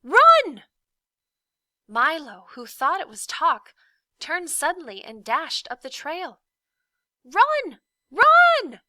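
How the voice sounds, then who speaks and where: A woman reads a storybook aloud in the manner of a classroom teacher. Her narration is expressive and unhurried, with a bright tone and very animated pitch. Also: no room sound, clean studio sound.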